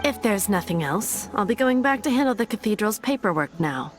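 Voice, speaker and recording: A woman's voice: medium pitch, expressive, brisk, lightly acted. A woman playing a courteous but firm young nun in a fantasy video game, formal and pious in manner. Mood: apologetic, dismissive. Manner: formal, pious, curtly polite. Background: background music.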